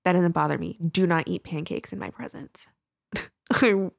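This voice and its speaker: Brief: young female podcast co-host, slightly low pitch, expressive, close dry mic. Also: normal speed, creaky, no echo. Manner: animated and expressive, laughs often. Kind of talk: casual chat. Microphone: studio podcast mic.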